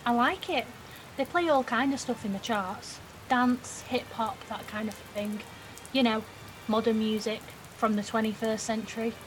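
High-voiced, cheeky young woman, played by an actress. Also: normal speed, background noise, lightly acted. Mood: chatty, needling. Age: young adult. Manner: blunt, teasing, slangy. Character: cheeky, defiant nineteen-year-old working-class young woman. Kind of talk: naturalistic audio drama acting.

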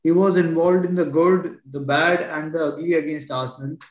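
A man's voice: on the high side, steady tone, normal speed, roomy sound, rough recording. A man hosting a sports podcast, steering the chat back with questions. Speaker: podcast host.

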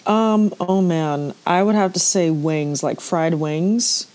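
A woman's voice: on the low side, slow, a little mellow. A woman from Trinidad and Tobago with a Trinidadian accent, a podcast guest chatting casually with slangy, sassy asides. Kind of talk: casual chat.

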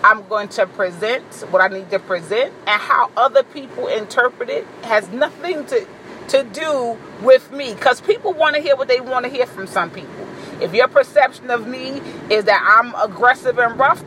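Blunt, deep-voiced woman venting. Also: animated, normal speed, fairly bright tone, a little hiss or hum, poor audio. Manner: blunt, profane, assertive; boisterous. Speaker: vlogger. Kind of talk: candid monologue to camera.

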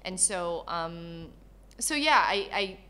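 Woman chatting candidly as a guest, bright clear-cut voice, tells stuff in an animated way. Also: fairly low pitch, slow. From born in Malaysia, grew up in Canada. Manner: animated storyteller, acts out quoted dialogue. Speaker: interview guest. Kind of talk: candid casual conversation.

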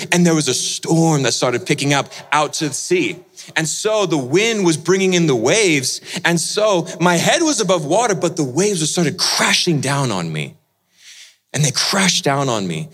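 Animated man telling a dramatic story. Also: fairly high pitch, normal speed. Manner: dramatic storyteller, swings from lively to hushed. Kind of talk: sermon storytelling.

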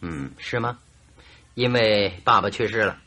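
A man's voice: lowish voice, measured pace, bright, forceful tone. An actor playing a genial, courteous, inquisitive male detective in a radio drama, musing politely. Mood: probing, musing.

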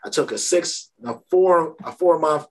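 A man in his thirties from Brooklyn hosting an interview, speaking in a relaxed, easygoing way and often adding "you know" and "right?". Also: fairly high pitch, a little slow. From Brooklyn, New York. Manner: laid-back, frequent "you know" and "right?". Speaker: podcast host. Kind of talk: casual interview chat.

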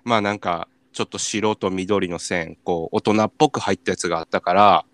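A young man with a Kansai accent hosting a radio show, telling casual comic stories in an animated way with acted-out reactions. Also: low-pitched, fairly quick, no room sound. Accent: Kansai Japanese. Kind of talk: casual comic storytelling chat.

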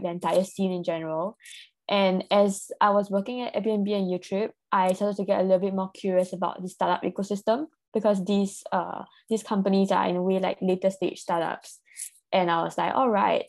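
Female, 20s, Singaporean accent, mid-pitched, casual and chatty podcast guest with plenty of filler phrases. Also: darkish tone. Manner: chatty, peppers speech with "like" and "I guess". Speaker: podcast guest, venture capital associate. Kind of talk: casual interview talk.

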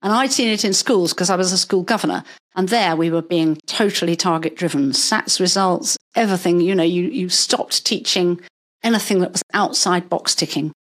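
An older woman, a retired paediatrician, talking through her working life in a measured, explanatory way, with stories along the way. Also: lowish voice. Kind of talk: conversational interview.

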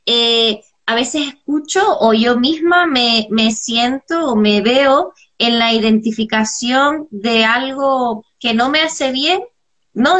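A woman co-host with a somewhat high voice. She muses aloud and shares her own reflections. She speaks over a video call. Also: fairly bright tone. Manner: musing, asks others' opinions. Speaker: co-host interviewer. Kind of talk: reflective interview chat.

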